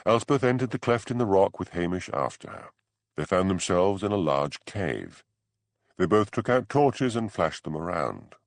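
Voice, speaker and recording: A man's voice: low voice, big pitch swings, moderate pace, dry recording. A man reading a novel aloud as a scripted audiobook narration.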